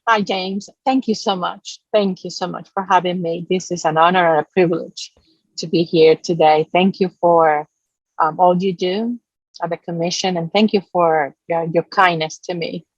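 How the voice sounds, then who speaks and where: A middle-aged woman from Puerto Rico with a Puerto Rican accent, a native Spanish speaker, talking haltingly as an interview guest. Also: lowish voice, fairly even intonation, dry recording. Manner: halting, searching for words. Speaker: interview guest, business association founder. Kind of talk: conversational personal storytelling. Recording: slightly dull, lo-fi sound.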